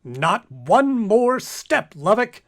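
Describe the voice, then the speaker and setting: A man's voice: high-pitched, very animated, extremely slow, very noisy, exaggerated voice. A man playing an earnest, heroic spaceman in a comic old-time radio play, speaking in a declamatory way. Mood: commanding. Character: earnest male space hero, career-minded and heroic.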